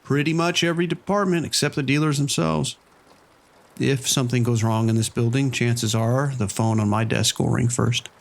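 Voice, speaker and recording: A man's voice: medium pitch, steady tone, moderate pace, vocal fry, lightly acted. A man playing a matter-of-fact, weary casino operations manager in an understated audio drama monologue. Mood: matter-of-fact, uneasy.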